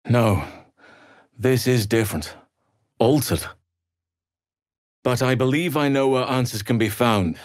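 An actor playing a big, gentle elf druid healer in a fantasy game, speaking in a measured, earnest and formal way. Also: male voice, fairly low pitch, no echo, studio quality. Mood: calm, earnest. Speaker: video game character voice actor.

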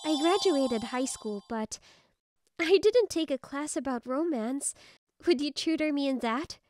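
Woman playing high-voiced, firm young office senior. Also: expressive, measured pace, darkish tone, a little hiss or hum. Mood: tender. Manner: assertive, plain-spoken. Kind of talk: scripted manga story dub.

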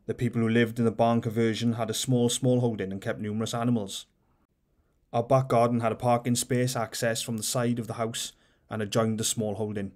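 A man hosting his own channel, reading a book of light-hearted short stories aloud in a steady, measured way. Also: medium pitch. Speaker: audiobook reader and channel host.

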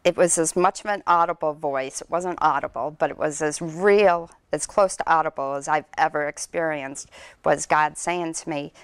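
Low-voiced woman giving earnest church testimony. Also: moderate pace, smooth, dry recording. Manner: earnest, emotional, speaks from a lectern. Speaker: church member sharing testimony. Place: small church sanctuary. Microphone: handheld microphone.

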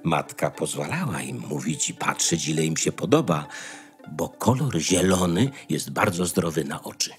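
A man narrating a fairy tale in a measured storytelling manner, with dramatic pauses. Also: a bass voice, sing-song. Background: music.

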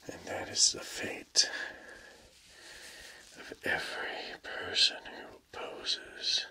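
A man playing a cold, smug chancellor villain in a whispered ASMR role play, talking softly with menacing calm. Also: slow. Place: dark room, masked in costume facing camera.

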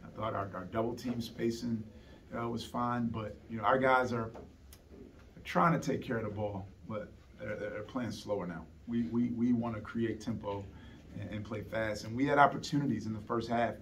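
Earnest coach, distant mic. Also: male voice, on the low side, steady tone, moderate pace, slight background noise. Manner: earnest, with frequent repeated words and restarts. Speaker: head basketball coach. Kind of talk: postgame press conference answers. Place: press conference room, seated at a table. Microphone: table microphone on a stand. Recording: heard from some distance.

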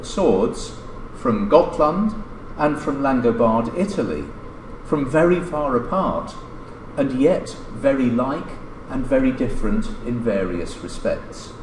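Guy reading a scholarly paper at a lectern, measured with pauses, really animated pitch, echoey room w a bit of noise. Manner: measured, scholarly, pausing mid-phrase. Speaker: academic lecturer reading a colleague's paper. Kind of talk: formal conference lecture read from a paper. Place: indoor lecture hall, at a lectern. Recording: echoey lecture room, distant mic, background noise.

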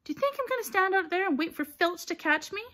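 A woman's voice: on the high side, huge pitch swings, normal speed, pushed and bright, voice pushed up, heavily acted. A woman voicing a bossy, indignant schoolgirl in an audiobook, snappish and scolding. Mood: shrill panic.

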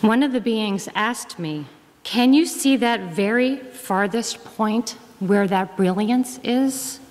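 Middle-aged woman, mid-range voice, measured sermon reading, deliberate phrasing, long church reverb. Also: mid-range voice, a little mellow. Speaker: guest minister. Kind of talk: measured reading aloud to a congregation. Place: large wood-panelled church hall. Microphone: lectern gooseneck microphone.

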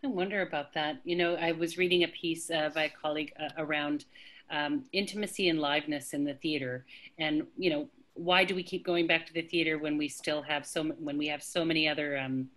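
Low-voiced woman on a video call. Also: fairly even intonation, brisk, a bit bright. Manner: thoughtful, hesitant with many fillers. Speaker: panelist. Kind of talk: online panel discussion. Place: home indoors.

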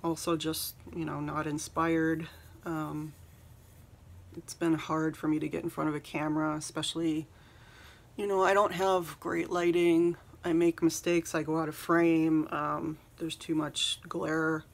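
A soft-spoken woman in her thirties chatting casually while doing her makeup, rambling and saying "um" a lot, recorded on a phone in a small bedroom. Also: low-pitched, little pitch movement, a little slow, vocal fry. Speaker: beauty vlogger. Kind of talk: casual get-ready-with-me chat. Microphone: phone camera at arm's length.

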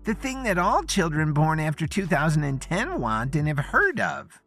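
A man's voice: huge pitch swings, normal speed, a bit bright, faint music behind, full character voice. A man voicing a young woman improv actor who plays a little girl in a childlike voice. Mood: eager. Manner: childlike, high and eager.

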